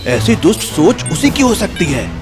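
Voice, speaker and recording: A man voicing an earnest, determined inventor hero in an animated children's story, speaking with resolve. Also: high-pitched, moderate pace, music in the background. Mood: resolute.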